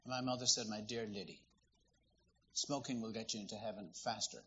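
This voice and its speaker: A man from Ireland, preaching a sermon in a lively, conversational, storytelling way. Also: fairly low pitch, moderate pace, highs cut off, noisy background. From Northern Ireland.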